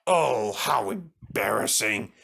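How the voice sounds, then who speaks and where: A man's voice: lowish voice, expressive, slow pace, vocal fry, bright, forceful tone, slightly rough, voice pushed down, exaggerated voice. A man voicing a proud, boastful male express steam engine in a children's story, with a pompous, declaiming manner. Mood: embarrassed.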